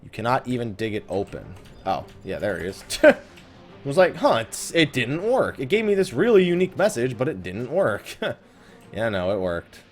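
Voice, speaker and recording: A man's voice: medium pitch, wildly swooping pitch, moderate pace, faint music behind. A man giving casual let's play commentary on a video game, swearing and griping with sarcasm. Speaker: host of a gaming let's play series.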